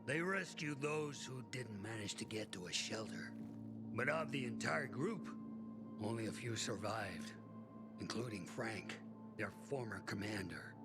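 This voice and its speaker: A man's voice: fairly low pitch, expressive. A male actor playing a middle-aged, world-weary, resigned survivor in a video game, telling things in a bitter, brooding way. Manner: slow, brooding, bitter storytelling with pauses. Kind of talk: game cutscene acting. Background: music.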